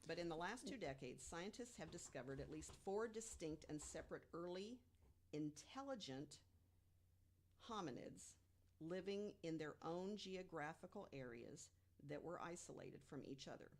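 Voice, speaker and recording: A woman with a low voice talks through researched material on a casual podcast. She is emphatic and deliberate, often setting up points with rhetorical questions. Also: expressive. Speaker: podcast guest panelist. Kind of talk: informal podcast storytelling from research notes.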